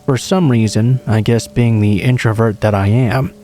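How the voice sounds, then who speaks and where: A man reading true scary stories aloud as a scripted narration, in a steady, low-key delivery. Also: normal speed, soft background music. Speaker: horror story narrator.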